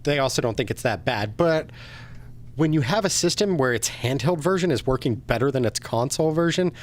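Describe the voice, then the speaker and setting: Male co-host, mid-pitched with lively intonation, easygoing and dryly funny in casual conversation. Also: brisk. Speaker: podcast co-host. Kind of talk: casual chat about video games.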